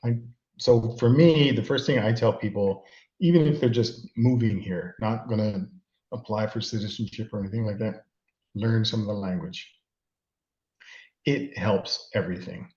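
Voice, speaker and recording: A man's voice: fairly low pitch, moderate pace, a little mellow. Middle-aged man, echoey muffled sound. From California, USA. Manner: rambling, self-deprecating, with frequent restarts. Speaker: interview guest. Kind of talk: casual reflective advice.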